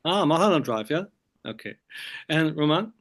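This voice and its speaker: Male interviewer, slightly high voice, chatty, halting English phrasing. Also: animated, fairly bright tone. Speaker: film magazine interviewer. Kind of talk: casual interview over video call.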